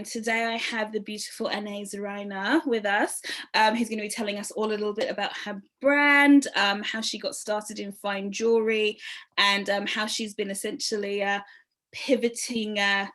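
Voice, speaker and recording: A woman's voice: medium pitch, steady tone, moderate pace, fairly bright tone. A young woman hosting a casual online interview, upbeat and gushing, with many fillers. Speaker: interview host.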